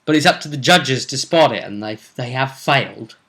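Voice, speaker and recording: A man's voice: mid-range voice, animated, moderate pace, pushed and bright. A man giving a casual, conversational recap of a tabletop role-playing game as its host, often trailing off mid-sentence.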